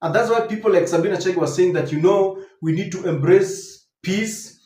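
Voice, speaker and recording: A man with a Kenyan accent giving unscripted political commentary to viewers, emphatic and deliberate, repeating his points. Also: high voice, warm tone. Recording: roomy, echoing voice recording.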